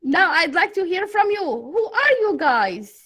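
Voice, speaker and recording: A woman's voice: very high-pitched, very animated, a little slow, a bit bright. A woman with an Iraqi Arabic accent leading an online English class in a chatty, encouraging way over a video call. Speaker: English teacher, course host.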